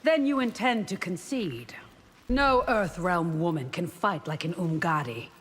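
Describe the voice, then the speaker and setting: A woman's voice: on the low side, lively intonation, measured pace, husky. A woman playing a proud, disdainful warrior guard who talks formally, in video game fight banter. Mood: stern disdain. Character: stern, proud female warrior guarding an empress. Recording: echoing hall reverb.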